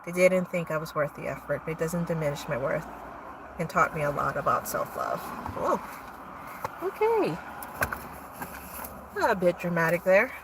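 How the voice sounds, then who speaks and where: A woman's voice: sits low, very animated, normal speed, long pauses, far from the mic, noisy background. A young woman hosting a livestream, reading her own poems aloud with casual asides between them.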